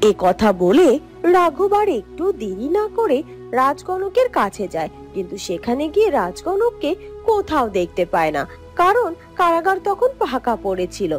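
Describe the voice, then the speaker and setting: A woman's voice: high-pitched, huge pitch swings, moderate pace, soft background music. Woman narrating a cartoon story.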